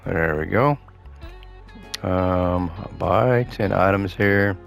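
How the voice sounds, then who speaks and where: A man giving casual gameplay commentary, thinking aloud to himself as he plays, his voice slightly hoarse. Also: low voice, extremely slow, soft background music. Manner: relaxed thinking aloud, muttering to himself while playing. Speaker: gaming host playing a renovation game.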